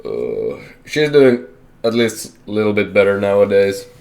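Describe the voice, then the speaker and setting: Deep-voiced young man, halting and candid. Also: wildly swooping pitch, vocal fry, a little mellow. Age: young adult. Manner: halting, hesitant, self-correcting, candid. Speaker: vlogger. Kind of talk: personal life update.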